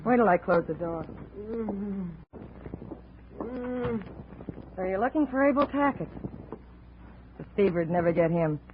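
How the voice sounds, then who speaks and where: A woman's voice: fairly low pitch, animated, a little fast, close to an everyday voice. A muffled old radio recording with faint noise carries a woman acting as an obsessive, lovelorn woman of the tropics. She delivers her lines with insistent, defiant drama in the manner of vintage radio plays. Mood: bitter, certain.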